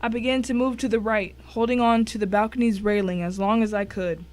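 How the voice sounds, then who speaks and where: A woman's voice: medium pitch, steady tone, brisk, darkish tone, close to an everyday voice. A woman playing a tense first-person narrator in a dramatic suspense story.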